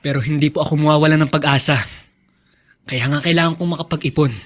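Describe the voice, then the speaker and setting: A man's voice: on the high side, fairly even intonation, fast talker, lightly acted. An actor playing an earnest, dutiful young Filipino son who sacrifices for his mother, in radio drama style. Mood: polite, hopeful. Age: young adult.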